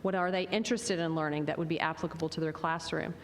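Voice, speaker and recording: A woman's voice: fairly even intonation, quick pace. A woman on a conference panel explaining her school's professional development plans in an earnest way. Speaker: school technology administrator. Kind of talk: panel discussion answer. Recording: reverberant conference hall, faint rumble.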